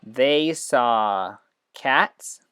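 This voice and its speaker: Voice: medium pitch, animated, extremely slow, fairly bright tone, smooth, dry recording. An educator giving an informal, explanatory demonstration with read-aloud passages. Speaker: educator demonstrating reading apps. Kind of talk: instructional screen demo with read-aloud passages.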